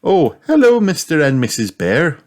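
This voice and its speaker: A man voicing a polite, gentle mother pig in a children's storybook. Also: on the high side, huge pitch swings, normal speed, voice pushed up. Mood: polite, agreeable.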